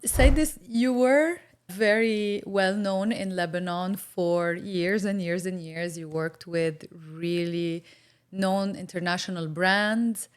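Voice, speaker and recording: A woman's voice: lowish voice, clear, resonant tone. Upbeat woman podcast host. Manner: upbeat, with brief affirming backchannels. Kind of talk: interview hosting.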